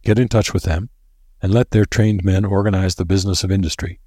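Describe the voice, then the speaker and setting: A man's voice: low-pitched, steady tone, fairly quick, warm tone, husky, no room sound, clean studio sound. A man playing a serious, practical male doctor in a storybook, direct and rather grim.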